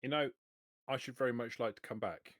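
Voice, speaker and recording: A man's voice: mid-range voice, brisk, dry recording, studio quality. A man playing in a tabletop roleplaying game, chatting casually in character. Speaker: player.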